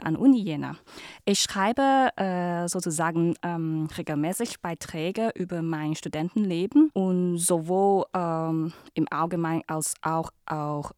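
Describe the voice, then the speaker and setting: A woman from Hong Kong in her thirties, a native Cantonese speaker, answering podcast questions carefully with many pauses and fillers. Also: fairly low pitch, a little mellow, no room sound, studio quality. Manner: careful non-native speech with many hesitations. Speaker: interview guest, student ambassador.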